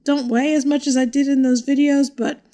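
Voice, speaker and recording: High-voiced, dry-humored middle-aged woman. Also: little pitch movement, fairly quick, dark tone. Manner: dry, wry, self-deprecating, laughs often. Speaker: podcast co-host. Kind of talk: casual comedic chat.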